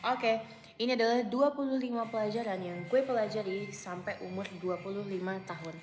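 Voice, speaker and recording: A woman's voice: medium pitch, fairly even intonation, brisk, a little mellow, faint music behind. A woman in her twenties talking casually to camera at home in a vlog, chatty and full of fillers, often slipping into English phrases. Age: 20s. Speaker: vlogger. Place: at home, indoors. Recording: echoey room.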